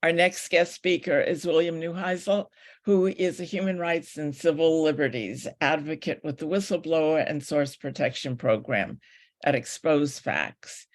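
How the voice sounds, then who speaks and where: A woman's voice: sits low, normal speed. A woman moderating an online meeting informally, politely thanking speakers and handing over to others. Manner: polite, grateful.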